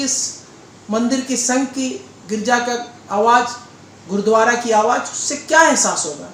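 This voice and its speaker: A man giving political interview answers in a deliberate, oratorical way, repeating phrases. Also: extremely high pitch, a bit bright. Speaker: political leader being interviewed. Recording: echoey room with faint background noise.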